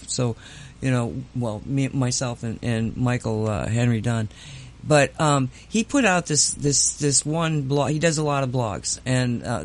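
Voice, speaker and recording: A man hosting a radio show, chatting in a teasing way with many restarts. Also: mid-range voice. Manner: teasing, restarts often, laughs a lot. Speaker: radio host. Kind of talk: casual chat.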